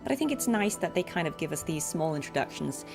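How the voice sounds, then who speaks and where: A woman's voice: low voice, big pitch swings, fast, gravelly, music in the background. A woman streamer chatting casually and appreciatively, rambling from thought to thought. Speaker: streamer and voice actress. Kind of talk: casual livestream chat.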